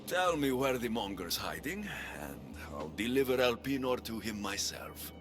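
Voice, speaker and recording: Music behind, man playing gruffly blunt warrior, mid-pitched, very varied intonation, sardonic, distrustful, game acting. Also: sing-song, moderate pace, natural voice. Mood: blunt, wary. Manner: curt, sardonic, challenging. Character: blunt, distrustful male Greek mercenary hero. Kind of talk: video game cutscene acting.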